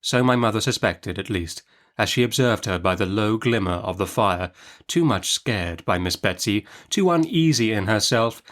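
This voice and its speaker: A man narrating a Victorian novel in an expressive literary style, measured and articulate, as a storyteller would. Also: lowish voice. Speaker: audiobook narrator.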